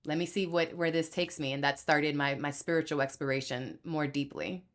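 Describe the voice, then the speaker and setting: Young Dominican-born woman guest, low-pitched, candid and animated storyteller. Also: normal speed. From Dominican Republic. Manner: animated, acts out quoted voices, laughs easily. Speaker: podcast guest.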